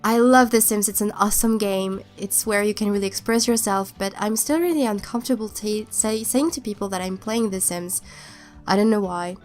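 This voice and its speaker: A woman's voice: medium pitch, moderate pace, faint music behind. Stuffy-nosed young woman rambling. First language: not English. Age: young adult. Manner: rambling, hesitant, many restarts. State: stuffed up with a cold. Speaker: YouTuber narrating a speed build. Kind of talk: casual voiceover chat.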